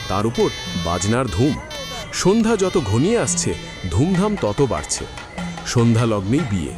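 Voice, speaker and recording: A man narrating an audio drama in scripted, expressive storytelling. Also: mid-range voice.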